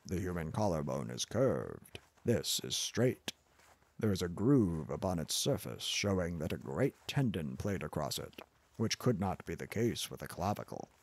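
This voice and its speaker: A man's voice: deep voice, very animated, normal speed, gravelly, breathy edge, voice pushed down, heavily acted. A man reading an audiobook, voicing a pompous, contemptuous older male professor in a blustering, condescending manner. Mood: lecturing. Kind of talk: audiobook character voice.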